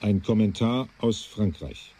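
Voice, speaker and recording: A man's voice: lowish voice, measured pace, warm tone, lightly acted. A man playing a sober, ominous narrator and announcer in scripted radio-play narration.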